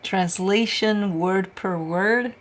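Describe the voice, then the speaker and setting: A woman's voice: mid-range voice, slow pace. A woman, a tarot reader chatting casually on a livestream, telling stories and answering viewer questions. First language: Romanian.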